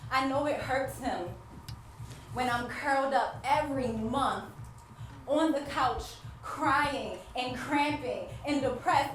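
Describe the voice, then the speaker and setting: An actress playing a devout wife who struggles with infertility, anguished yet hopeful, in an emphatic stage monologue with repeated phrases and dramatic pauses. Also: female voice, sits high. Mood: pained. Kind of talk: theatrical stage monologue addressed to the audience. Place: stage play in a large echoey room. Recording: echoey hall from far off, background noise.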